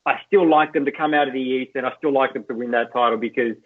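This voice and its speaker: A man's voice: medium pitch, steady tone, quick pace, background noise. A man with an Australian accent, a guest chatting casually as a basketball journalist, opinionated and full of "look" and "you know". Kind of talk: casual podcast chat. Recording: tinny phone-like line.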